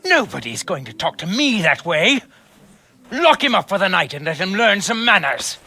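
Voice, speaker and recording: A man's voice: high-pitched, very animated, normal speed, pushed and bright, slightly rough, heavily acted. A man playing a haughty, easily affronted custodian in a video game, with a pompous, flowery and dismissive manner. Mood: indignant. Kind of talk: video game cutscene acting.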